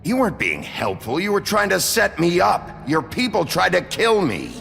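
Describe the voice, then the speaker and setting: An actor playing a blunt, sardonic sheriff in a video game, gruff, curt and accusatory. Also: male voice, sits high, huge pitch swings, normal speed, fairly bright tone, faint music behind, heavily acted. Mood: angry, accusing. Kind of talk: dramatic video game dialogue.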